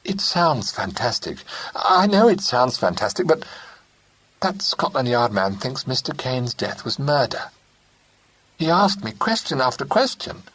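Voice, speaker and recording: A man's voice: mid-range voice, very animated, measured pace, fairly bright tone, slightly rough, voice pushed up, heavily acted, rough recording. A man voicing an anxious young Englishwoman, pleading and urgent.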